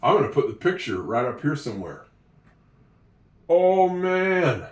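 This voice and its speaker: Slow, lively-voiced man teaching handwriting. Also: on the high side, far from the mic. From Oregon, USA. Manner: chatty, encouraging, talks to the viewer. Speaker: handwriting and English tutor for a child. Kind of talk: slow, patient writing lesson. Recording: echoey room, voice away from the mic.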